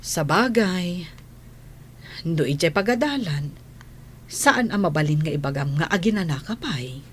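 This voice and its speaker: A woman's voice: on the low side, lively intonation, unhurried, slight background noise. A woman playing a caring, worried nanny in a radio drama, with a concerned, motherly manner. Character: caring, worried household nanny to a young man.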